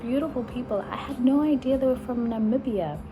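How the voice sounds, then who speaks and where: A young woman from Africa, musing slowly in a casual travel vlog, full of sighs and likes. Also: high-pitched, background noise. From South Africa. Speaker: vlogger. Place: in Italy while travelling.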